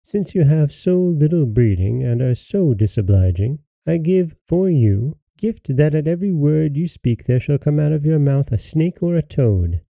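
A man voicing a composed, stern female fairy in a storybook, calm and measured. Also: fairly high pitch, vocal fry, no echo, pitched above the performer's own voice, rough recording. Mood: calmly stern. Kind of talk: storybook character reading.

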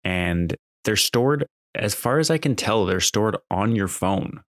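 A man's voice: on the low side, animated, measured pace, no echo, clean studio sound. A man talking casually off the cuff as a podcast host, in a conversational, exasperated, complaining way. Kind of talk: casual unscripted monologue.

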